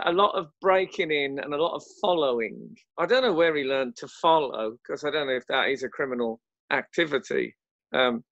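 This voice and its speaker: A man's voice: on the high side, a bit bright, dry recording, highs cut off. A man, an actor chatting in a casual interview in a wry, hesitant way. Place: home, remote lockdown recording.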